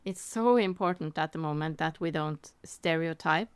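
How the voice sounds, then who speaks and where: A woman hosts a podcast interview in a friendly, warm manner, often pausing and restarting her questions. Her voice is smooth and clear with a slightly low pitch, recorded close and dry. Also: dry recording. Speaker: podcast host.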